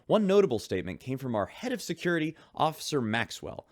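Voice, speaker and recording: An actor playing a chatty, gossipy radio show host on a spaceship in an audio drama. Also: male voice, medium pitch, very animated, fast talker, dry recording, clean studio sound, lightly acted. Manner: chatty, gossipy announcer delivery. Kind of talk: radio drama acting as a broadcaster.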